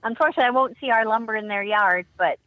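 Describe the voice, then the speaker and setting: A woman's voice: on the high side, racing, phone-quality sound. A woman chatting as a guest on a talk show, friendly and full of ums. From Canada. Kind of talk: casual chat.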